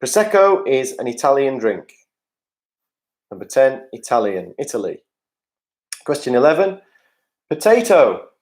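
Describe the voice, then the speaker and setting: A man's voice: mid-range voice, sing-song, unhurried, pauses often, a little mellow. Quiz host: man, jokey and chatty, reading questions and answers over a video call. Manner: jokey, chatty asides to players, reads questions aloud.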